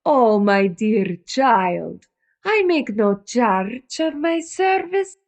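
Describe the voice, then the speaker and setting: Woman playing a slow, grandiose phony psychic. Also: fairly high pitch, very animated, exaggerated voice. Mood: lofty, pious. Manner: grandiose, slow, mystical delivery. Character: phony female psychic, theatrical and solemn, easily irritated. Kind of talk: comedy audio drama acting.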